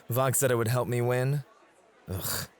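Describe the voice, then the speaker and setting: A man's voice: mid-range voice, lightly acted. A man playing a devoted, competitive and possessive club host in an intimate, teasing ASMR roleplay.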